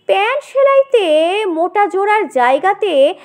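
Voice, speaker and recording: Very high-pitched woman narrating at slow pace. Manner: expressive, theatrical reading. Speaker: audio story narrator. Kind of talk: scripted dramatic story narration.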